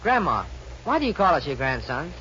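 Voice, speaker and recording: An actor playing an earnest, naive young singing cowboy in a folksy 1940s Western radio comedy. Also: male voice, on the high side, sing-song, brisk. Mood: earnest, protesting. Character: boyish, eager, pie-loving young singing cowboy. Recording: hissy, dull 1940s radio recording.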